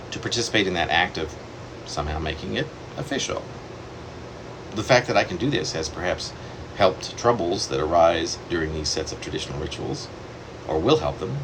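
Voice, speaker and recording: Man, very deep voice, animated pitch, poetry reading, wandering and self-correcting, audible air conditioning hum. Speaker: poet reading his own poem.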